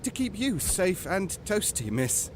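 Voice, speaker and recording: An actor playing a polite, fretful explorer sidekick turned hungry cannibal in an audio drama. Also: male voice, sits high, very animated, a little slow, a little hiss or hum. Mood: oily politeness. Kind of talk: theatrical audio drama acting.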